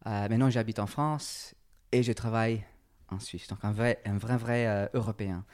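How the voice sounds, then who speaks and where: A man's voice: fairly low pitch, fairly even intonation, normal speed, a little mellow. A man with an English accent, a fitness coach interviewed in French, speaking hesitantly with many fillers and restarts. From England. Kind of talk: casual interview, halting French.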